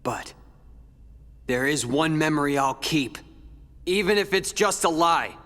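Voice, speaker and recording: Slow, brooding teenage warrior. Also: male voice, on the high side, animated, a bit bright. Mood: resolute, pained. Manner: somber, halting, intense. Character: brooding, guarded teenage boy warrior, earnest and resolute. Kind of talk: dramatic video game voice acting. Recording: long reverb, music behind.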